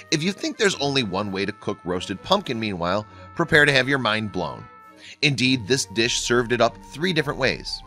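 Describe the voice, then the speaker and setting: A man reading a scripted video narration with a bright, forward tone. His pitch swings widely and his delivery bounces along. Soft music plays quietly under the voice. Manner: lively, bouncy read with wide pitch swings. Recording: faint music bed behind the voice.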